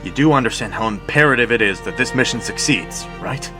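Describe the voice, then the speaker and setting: An actor playing an earnest young soldier hero in an audio drama, stammering when flustered and firm when giving orders. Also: male voice, medium pitch, expressive, fairly quick, natural voice. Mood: stern. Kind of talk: cinematic audio drama acting. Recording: music behind the voice.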